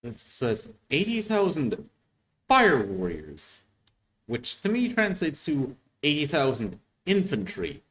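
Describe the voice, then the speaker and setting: A man hosting a reaction video, commenting casually and haltingly as he thinks aloud. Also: mid-range voice, huge pitch swings, fairly bright tone, rough recording.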